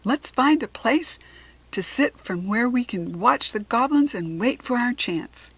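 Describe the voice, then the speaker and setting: An earnest young girl adventurer from a children's storybook, read by a woman. She speaks firmly and eagerly, with a slightly husky tone. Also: fairly high pitch, lively intonation, measured pace, a bit bright, voice pushed up, exaggerated voice, rough recording. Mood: firm, determined. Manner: emphatic, firm, eager. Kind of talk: children's storybook character reading.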